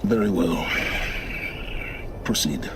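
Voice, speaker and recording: An actor playing an aloof, secretive wandering scholar in a video game, measured, terse and impatient with others. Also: male voice, low-pitched, noisy background. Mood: impatient, curt. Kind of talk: dramatic video game acting. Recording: loud music behind the voice.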